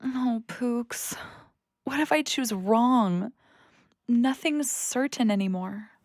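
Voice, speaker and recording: Young daughter, earnest, anxious, higher pitch, unhurried, dry studio sound, audio play. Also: female voice, darkish tone, dry recording. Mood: anxious, yearning. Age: young adult. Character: restless, anxious young daughter longing for adventure.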